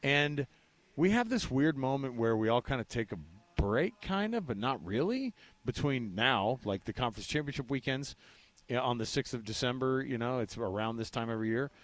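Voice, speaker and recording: An American man co-hosting a sports radio show in casual talk, animated and repeating phrases for emphasis, often with rhetorical questions. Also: mid-range voice, moderate pace, dry recording.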